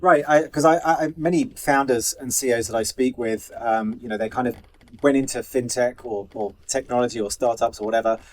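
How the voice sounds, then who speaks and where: Male interviewer, average-pitched, informal and conversational, feels his way into questions. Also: a little fast. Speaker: interview host.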